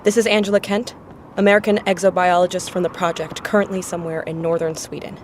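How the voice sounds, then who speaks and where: A woman's voice: fairly low pitch, flat delivery, fast, a little hiss or hum, close to an everyday voice. An actress playing a composed American woman scientist, recording a scripted field report in an audio drama. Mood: matter-of-fact.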